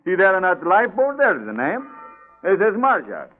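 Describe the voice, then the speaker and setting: An actor playing a commanding, dismissive ship's captain, brisk and condescending, in a 1940s radio drama. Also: male voice, sits high, wildly swooping pitch. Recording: tinny 1940s radio recording, music traces.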